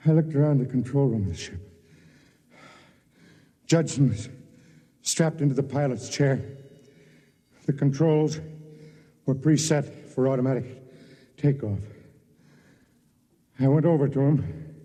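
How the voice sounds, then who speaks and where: An American actor playing a big, aging, gruff and loyal man, narrating dramatically in a 1950s radio drama. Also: medium pitch, unhurried, pauses often, warm tone, natural voice. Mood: grim, vengeful. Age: middle-aged.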